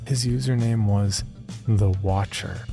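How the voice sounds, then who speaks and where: A man performing scripted first-person horror narration as an uneasy young man, in a measured, confiding way with deliberate pauses. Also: on the low side, fairly even intonation, gravelly. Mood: wary, confiding. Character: uneasy young man recounting his sleep disorder. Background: background music.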